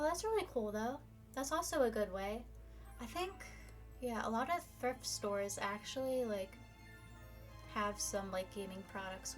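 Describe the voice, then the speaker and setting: A young woman, a bit hoarse, chatting casually with her viewers on a live stream. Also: medium pitch. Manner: chatty, upbeat, reads viewer comments. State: slightly hoarse, losing her voice.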